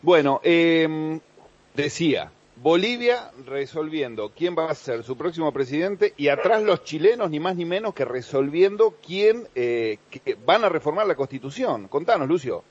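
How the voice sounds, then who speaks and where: A man with a Rioplatense accent hosting a radio show, chatty and quick to interject questions. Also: on the high side, lively intonation, husky, highs cut off. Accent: Rioplatense Spanish. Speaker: radio host. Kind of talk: radio chat.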